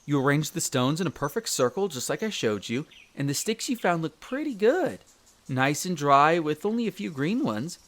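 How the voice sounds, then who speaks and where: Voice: mid-range voice, moderate pace, natural voice. A performer playing a young male elf explorer, a chatty, friendly guide speaking to the listener in an audio roleplay. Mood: cheerful. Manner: expressive, chatty, stammers when flustered.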